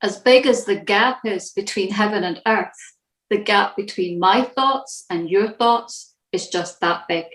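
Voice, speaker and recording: A woman reads a scripted devotional with a measured, reflective delivery. Her voice sits at a middling pitch, and the recording is noticeably roomy and echoing. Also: a bit bright. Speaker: church devotional reader.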